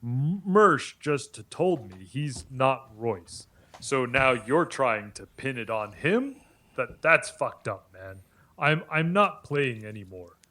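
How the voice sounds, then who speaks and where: A man's voice: mid-range voice, big pitch swings, slow, sharp tone. A male AI chatbot voice that stammers and repeats its words while talking with podcast hosts. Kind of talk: voice assistant chat.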